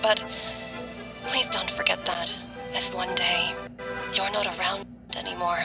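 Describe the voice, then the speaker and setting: Grave sorrowful lady doctor in a video game, measured, music underneath. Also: lowish voice, steady tone, gravelly. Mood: pleading. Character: earnest, sorrowful woman physician and mother.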